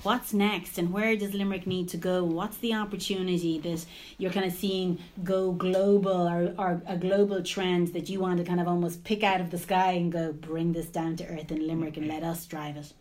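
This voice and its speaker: A woman's voice: on the low side, flat delivery, moderate pace, darkish tone. Enthusiastic woman hosting a podcast. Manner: enthusiastic, friendly, repeats words when starting. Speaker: podcast host. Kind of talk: podcast interview.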